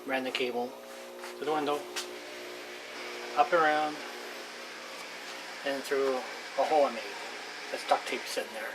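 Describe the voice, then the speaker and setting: A person talking casually and informally while showing hands-on work, rambling and trailing off mid-thought, with a medium-pitched voice. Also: fairly even intonation, normal speed, long pauses. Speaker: hobbyist showing a radio repair. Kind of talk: casual hands-on video narration. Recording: clearly noisy amateur handheld recording.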